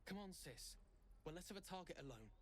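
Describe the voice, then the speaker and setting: A man's voice: on the high side, animated, brisk, fairly bright tone, slight background noise. A man playing an urgent younger brother pleading with his sister in a video game. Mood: urgent persuading.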